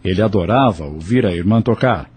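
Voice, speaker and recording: A man narrating an audio drama in a measured storytelling manner. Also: fairly low pitch, animated, darkish tone.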